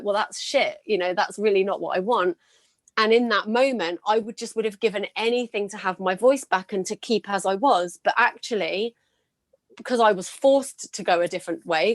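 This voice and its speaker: A woman with a slightly gravelly voice hosting a podcast. She shares stories in an earnest, encouraging way. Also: mid-range voice, no room sound. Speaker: podcast host. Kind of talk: casual interview chat.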